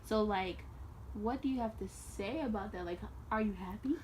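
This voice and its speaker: A woman's voice: mid-range voice, moderate pace, a little mellow, poor audio. A young woman hosting a casual interview, easygoing and chatty, with frequent ums. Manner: easygoing, laughs often, says "um" a lot. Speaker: host. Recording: faint background noise.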